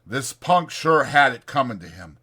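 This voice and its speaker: Man playing a firm, plain-spoken ranch hand, bright-voiced. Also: medium pitch, sing-song, normal speed, husky, pitched above the performer's own voice, exaggerated voice. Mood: grave, decisive. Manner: folksy, firm. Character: calm, authoritative ranch hand, fair-minded and plain-spoken.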